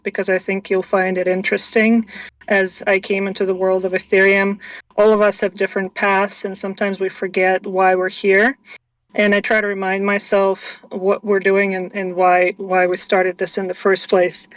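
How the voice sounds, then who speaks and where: A woman in her thirties, born in Russia, telling her story as a guest on an interview stream in a measured way with frequent ums. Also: mid-range voice, little pitch movement, no room sound, distant mic, low-quality recording. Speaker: guest, venture investor. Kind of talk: casual interview chat.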